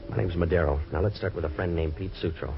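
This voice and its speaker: An American actor playing a tough, wisecracking waterfront troubleshooter in a 1940s radio noir, with clipped deadpan delivery. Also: male voice, low voice, fast, creaky. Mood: tough, sardonic. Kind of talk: 1940s radio noir acting and first-person narration. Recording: dull old radio recording, faint music.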